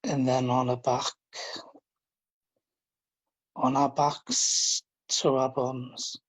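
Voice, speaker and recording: Guy doing a guided meditation, really slow and flat, gentle, kinda halting. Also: medium pitch, poor audio. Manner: gentle, halting, informal with repeated phrases. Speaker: guided relaxation leader. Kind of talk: guided relaxation, meditation instruction.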